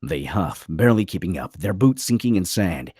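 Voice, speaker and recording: A man's voice: sits low, animated, normal speed, a little mellow, breathy edge, no echo, clean studio sound. A man reading screenplay stage directions as the narrator of a table read.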